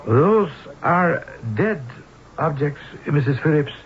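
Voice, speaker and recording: A man playing a courteous but ominous gentleman in a radio mystery, speaking formally and haltingly. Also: fairly high pitch, expressive. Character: courteous, ominous Japanese gentleman warning of cursed bells. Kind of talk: 1970s radio mystery acting. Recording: muffled phone-like old radio with hiss.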